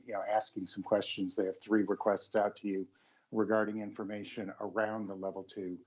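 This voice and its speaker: A man putting pointed, halting questions as an analyst on an earnings call. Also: fairly low pitch, darkish tone. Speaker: financial analyst caller. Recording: dull lo-fi call line.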